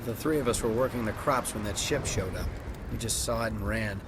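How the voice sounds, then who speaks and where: An actor playing a shaken but defensive colony farmer in a video game, earnest and plain-spoken. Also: male voice, fairly low pitch, a little fast, background noise, lightly acted. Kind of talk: dramatic video game acting.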